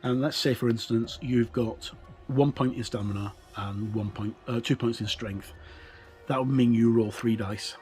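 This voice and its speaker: A middle-aged man giving an informal explanatory walkthrough as a gaming reviewer, halting as he thinks aloud. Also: medium pitch, fairly even intonation, darkish tone, faint music behind.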